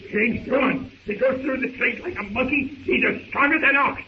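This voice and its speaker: An actor playing a terrified, agitated pirate sailor with rough speech in a 1930s radio serial. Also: male voice, very high voice, moderate pace. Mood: frightened, insistent. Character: terrified, shaken pirate sailor, adult man. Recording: muffled, noisy old radio recording.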